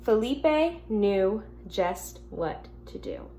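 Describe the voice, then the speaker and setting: A woman teacher speaking gently and simply to young children, reading a picture book aloud at home with casual asides. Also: medium pitch, very animated, drawn-out words. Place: at home in an apartment. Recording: noticeable background noise, home recording.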